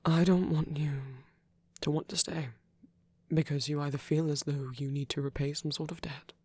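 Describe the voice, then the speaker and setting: Breathy, whispery gentle vampire priest, acted for ASMR. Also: mid-range voice, level tone, no echo, lightly acted. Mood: earnest, hesitant. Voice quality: soft, breathy, often whispering. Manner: hesitant, tender, halting pauses. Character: gentle, protective soft-spoken male vampire priest. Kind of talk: intimate ASMR roleplay monologue.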